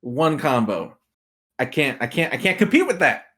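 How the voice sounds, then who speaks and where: A man with a somewhat high-pitched voice talks casually as a podcast guest. He is animated and jokey, wandering through asides and acting out what imagined people would say. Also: normal speed. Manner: animated, acts out imagined quotes. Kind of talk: casual chat.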